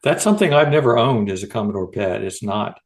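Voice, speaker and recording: American guy in middle age, fairly low voice, relaxed chatty podcast host talk. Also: fairly quick. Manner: informal, conversational. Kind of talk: casual discussion.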